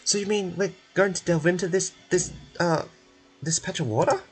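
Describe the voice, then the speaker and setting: A man voicing a nervous but determined reporter in a video game, halting and unsure. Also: high-pitched, husky, soft background music, pitched above the performer's own voice. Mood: nervous, hesitant.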